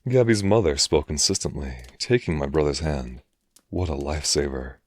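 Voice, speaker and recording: A man's voice: a bass voice, normal speed, darkish tone, dry recording, clean studio sound. A man narrating a scripted first-person horror story.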